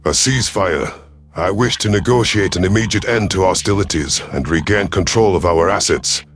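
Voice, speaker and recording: A man's voice: low voice, fairly quick, a bit bright. A man voicing a male alien predator fleet commander, formal and calculating, in a sci-fi audio story. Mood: formal, bargaining. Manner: formal, imperious. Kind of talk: first-person sci-fi audio story narration.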